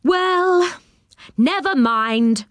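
Woman reader as resilient little fairy-tale man: matter-of-fact, highish pitch, expressive, dry sound. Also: moderate pace, no room sound. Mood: practical, brighter.

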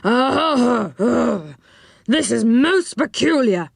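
Woman as an imperious, high-pitched storybook enchanter. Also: lively intonation, extremely slow, gravelly, no room sound, clean studio sound, heavily acted. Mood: uneasy. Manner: loud, commanding. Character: imperious, suspicious male enchanter guarding his gold.

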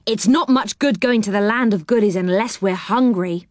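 A woman's voice: mid-range voice, normal speed, a little mellow, no echo, studio quality, natural voice. A woman voicing a sensible, matter-of-fact young girl in a storybook.

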